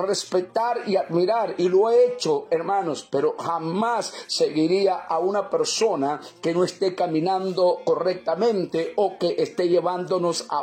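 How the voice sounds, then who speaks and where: High-voiced fervent Salvadoran preacher. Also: male voice, darkish tone. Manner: fervent, repetitive preaching, addresses listeners as brothers. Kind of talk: sermon.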